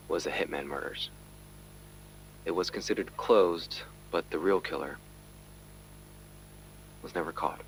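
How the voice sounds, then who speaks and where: A gravelly, very deep-voiced detective. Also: male voice, fairly bright tone, slight background noise, full character voice, rough recording. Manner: grave, measured, uneasy. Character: weary male police detective recording his case. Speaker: narrator. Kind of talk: scripted audio drama narration.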